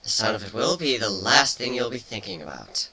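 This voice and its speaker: A man voicing a playful, teasing female changeling pony in a cartoon fan fiction reading, matter-of-fact even with mock threats. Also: on the low side, brisk, vocal fry, heavily acted, low-quality recording. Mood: seductive, sly.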